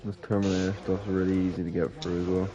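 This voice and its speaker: A nineteen-year-old man playing a shooter on stream, making dry, deadpan asides to his co-host. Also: low-pitched, quick pace, dull audio, over music. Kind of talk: casual gaming stream banter.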